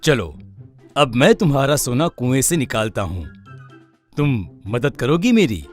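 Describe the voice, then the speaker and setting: Crafty fake guru for a cartoon fable, male actor: slow, swooping delivery, pompous and greedy, over a music bed. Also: medium pitch, big pitch swings. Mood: pleased, scheming. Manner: pompous, measured, dramatic pronouncements. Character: sham holy man, crafty, pompous, greedy swindler. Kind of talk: animated moral story acting.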